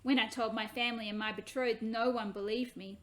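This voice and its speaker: A woman voicing a gentle, earnest young mother-to-be from ancient times in a children's story, kindly and questioning. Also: fairly high pitch, steady tone, fairly quick, close to an everyday voice.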